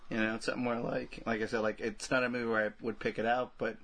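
A man co-hosting a podcast, chatting casually and jokily about films and games in loose, halting phrases. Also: medium pitch, level tone, fairly bright tone. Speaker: podcast co-host. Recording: clear background noise and room ambience.

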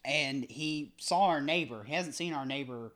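A man's voice: medium pitch, normal speed, a bit bright. Male podcast host, chatty and joking, casual interview. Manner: joking, rambling, self-deprecating.

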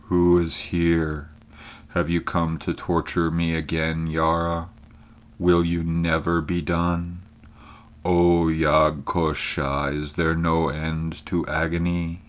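A man reading an audiobook voices an ancient, blind, tortured alien creature that speaks in a hushed, mournful way. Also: deep voice, monotone, slow pace. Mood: anguished. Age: very old figure. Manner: hushed, mournful, breathy at times.

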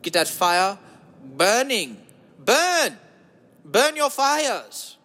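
Man putting on a high, campy German guy. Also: fairly quick, fairly bright tone. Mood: upbeat, rambling. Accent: exaggerated German.

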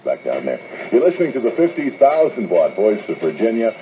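Male AM announcer, seventies station ID style, lively pitch, clearly noisy recording. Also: brisk. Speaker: radio announcer. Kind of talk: 1970s AM radio station announcement. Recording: noisy, muffled 1970s AM radio aircheck.

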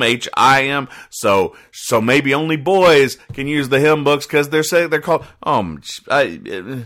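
A man's voice: medium pitch, pushed and bright. A man hosting a casual comedy podcast, rambling and mock-exasperated as he reads and picks apart material. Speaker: podcast host. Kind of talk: casual comedic commentary, reading comic strips aloud.

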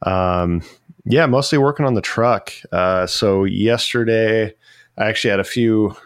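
A man from Florida talks casually in a low, smooth, clear voice. He rambles and corrects himself as he goes, and the sound is dry and close. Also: a little slow, no echo. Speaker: podcast co-host, metalworker. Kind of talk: casual chat.

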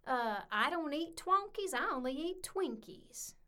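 A woman's voice: high voice, animated, unhurried, noisy background. A woman playing a brash, foul-mouthed single mom with a Southern US accent, sassy and exasperated, in an audio drama. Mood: irritated. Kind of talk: audio drama acting with narration.

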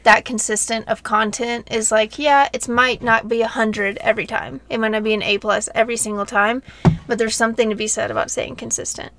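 A woman's voice: on the high side, fairly even intonation, a little fast, fairly bright tone. A young adult woman co-hosting a podcast, talking in a casual, unscripted, conversational way. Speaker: podcast co-host.

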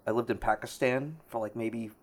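A man born in South Korea, a tattoo artist guest, talking in a modest, laid-back, halting way and laughing often. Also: mid-range voice, fairly even intonation. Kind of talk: casual interview chat.

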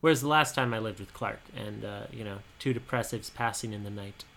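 Young male podcast co-host, twenties, high boyish timbre with a sharp brightness, halting and candid delivery. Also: gravelly. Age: 20s. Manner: halting, candid, soft-spoken about personal struggles. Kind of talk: casual podcast chat.

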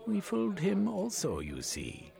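A man's voice: sits high, huge pitch swings, unhurried, creaky, dark tone, faint music behind, voice pushed up, heavily acted. A man voicing a scheming, scornful male foreign spy villain who threatens and sneers.

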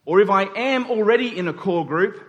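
Guy in his 40s giving a sermon, slightly high voice, punchy points then quieter asides, big echoey hall. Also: animated, normal speed, lo-fi sound. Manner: rhetorical, emphatic with dropped asides. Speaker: preacher. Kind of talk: sermon to a congregation. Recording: long hall reverb.

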